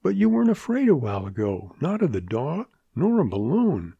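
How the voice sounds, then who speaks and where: A spirited young Western woman, played by a male narrator, speaks in a raised, lilting pitch with teasing earnestness. The delivery swings freely up and down, like a storybook heroine. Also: wildly swooping pitch, normal speed, vocal fry, breathy edge, no room sound, narrow, tinny audio, full character voice. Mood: earnest, urging. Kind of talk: audiobook western character voice.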